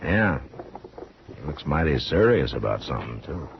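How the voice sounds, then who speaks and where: Deep-voiced, dry Old West marshal. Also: male voice, big pitch swings, normal speed, creaky, slight background noise, exaggerated voice. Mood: amused. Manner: dry, understated, plain-spoken. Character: weary, steady Old West town marshal with dry humour. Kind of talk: 1950s radio western acting and first-person narration. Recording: muffled old radio recording, loud music.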